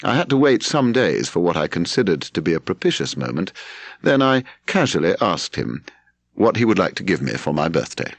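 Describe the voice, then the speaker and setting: A low-voiced British man narrates a literary memoir with a gravelly edge. His delivery is measured and expressive, with pitch that moves freely as he tells the story. The recording is close and dry. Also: dry recording. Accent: British English. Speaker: audiobook narrator.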